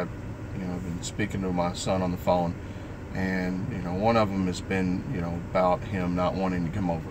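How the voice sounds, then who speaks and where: Middle-aged male vlogger, very low voice, halting and earnest personal talk, clear background noise. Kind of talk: personal vlog talk, live stream.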